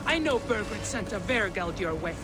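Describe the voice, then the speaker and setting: An actor playing a brash, greedy, defiant mercenary in a video game, angry and demanding. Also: male voice, high-pitched, very animated, normal speed.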